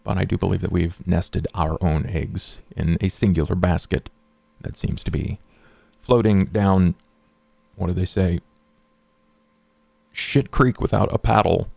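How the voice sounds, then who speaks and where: A man playing a suave, scheming and courteous confidant in a tabletop roleplay, speaking formally in wordy, measured phrases. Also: low voice, steady tone, vocal fry, mellow, no room sound, exaggerated voice. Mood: calculating.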